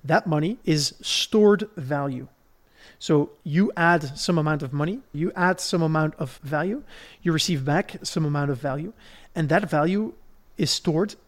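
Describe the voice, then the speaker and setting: A man from Belgium with a fairly high-pitched voice speaks as a podcast host. He explains in a conversational, didactic way, building his points one step at a time and often checking that the listener follows. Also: unhurried.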